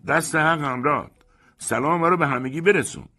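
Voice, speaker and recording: A man voicing a pompous, fawning male groomsman who talks in a shrill, blustering way. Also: big pitch swings, fairly bright tone, breathy edge, soft background music, heavily acted. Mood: mocking.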